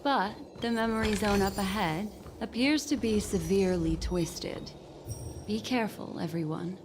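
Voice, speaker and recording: An actress playing a composed, elegant mystic guide in a video game, speaking in a measured, formal way. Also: female voice, medium pitch, noisy background, lightly acted. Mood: calm, cautioning. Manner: measured, formal, deliberate phrasing. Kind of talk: dramatic video game voice acting. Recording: long hall reverb under game music.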